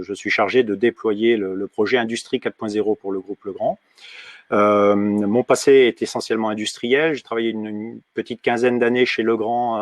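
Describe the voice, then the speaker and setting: A man in his forties introducing himself in a webinar over a video call, halting and repeating words. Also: on the low side, dry recording. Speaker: industrial performance manager, webinar guest. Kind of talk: webinar presentation.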